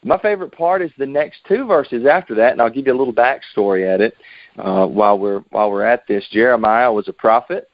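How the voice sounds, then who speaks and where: A man's voice: medium pitch, brisk, no echo. An American man giving an informal, earnest and encouraging devotional in a conversational way over a conference call line. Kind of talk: informal sermon, scripture exposition. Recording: dull lo-fi conference call audio.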